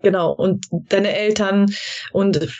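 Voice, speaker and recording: A woman hosting a conversational podcast interview, phrasing her questions in a searching, halting way with trailing tags. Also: mid-range voice, steady tone, darkish tone, lo-fi sound. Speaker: podcast host interviewing a guest.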